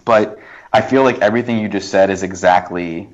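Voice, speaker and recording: A man's voice: fairly low pitch, fairly quick. A man guesting on a casual film podcast, arguing his opinions with emphasis and repeated words. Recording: dull, lo-fi voice track.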